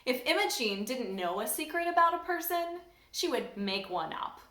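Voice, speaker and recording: A woman from a church staff reading a children's storybook aloud in an animated, expressive way. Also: high-pitched, moderate pace. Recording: roomy echo.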